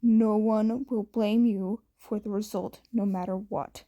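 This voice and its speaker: A woman's voice: medium pitch, fairly even intonation, a little slow, highs cut off, natural voice. A woman voicing a nervous teenage boy in a story, muttering reassurance under the breath. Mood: nervous, hushed. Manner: hushed muttering.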